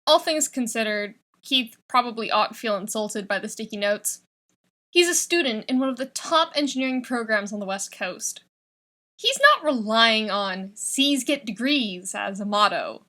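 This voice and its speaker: Woman reading a story out loud, high bright voice, puts expression into it. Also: lively intonation, moderate pace. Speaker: fan fiction reader. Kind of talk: expressive scripted story narration.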